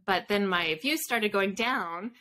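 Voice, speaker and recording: A woman's voice: mid-range voice, takes it slowly, pushed and bright. A woman in her thirties chatting casually as an interview guest on a video call, informal and self-deprecating. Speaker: guest, fiction author and podcaster. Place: indoor home room with bookshelves. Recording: video call with background noise, clicks.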